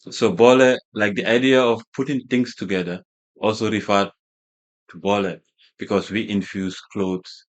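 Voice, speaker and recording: A Gambian man whose first language is Wolof talks through a casual interview in a fairly low voice. He feels his way along with lots of fillers, repeats and restarts. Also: fairly even intonation, normal speed. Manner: hesitant, many fillers and restarts. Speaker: fashion brand founder, guest.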